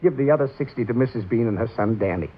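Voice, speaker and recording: An actor playing a gentle, remorseful old man in a vintage radio drama, husky and earnest, with a halting, pleading way of speaking. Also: medium pitch, animated, slight background noise, close to an everyday voice. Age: older. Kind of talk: 1950s radio drama acting. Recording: tinny, narrow-band vintage radio recording.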